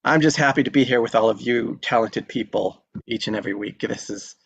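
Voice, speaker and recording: A man's voice: mid-range voice, a little fast, vocal fry, husky, no room sound. Man, host, casual and upbeat, rambling encouragement, dry muffled call audio. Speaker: host of online table read. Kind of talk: casual chat. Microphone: video call.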